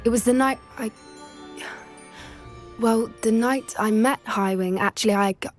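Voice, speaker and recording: A woman's voice: on the high side, measured pace, soft background music. A woman playing an earnest, wistful teenage witch who loves animals, with a British accent, in confiding and articulate video game dialogue.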